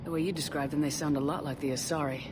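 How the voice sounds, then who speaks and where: A man playing a resolute, compassionate military commander in a video game, speaking in a terse, serious, steady way. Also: on the high side, fast, close to an everyday voice. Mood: serious, curious. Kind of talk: video game cutscene acting. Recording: clear ambient noise behind voice.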